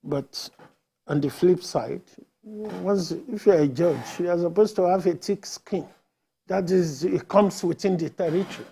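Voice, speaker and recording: A man's voice: high-pitched, measured pace, gravelly, dark tone, breathy edge. A man speaking as a lawyer on a discussion panel, explaining his points. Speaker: lawyer guest.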